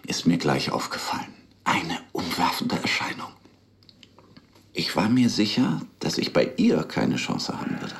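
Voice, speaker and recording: A man's voice: low-pitched, big pitch swings, unhurried, vocal fry, a bit bright, full character voice. An actor playing a weary, melancholic middle-aged dentist in a radio play, confiding hesitantly and with resignation in his rabbi. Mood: wistful, confiding. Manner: hesitant, brooding, resigned, with sighs. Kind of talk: naturalistic radio play dialogue.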